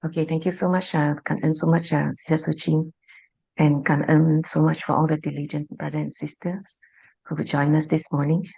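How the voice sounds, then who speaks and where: A hoarse woman moderating an online meeting, polite and halting with many fillers as she introduces the next speakers. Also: low-pitched, little pitch movement, mellow. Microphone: video call.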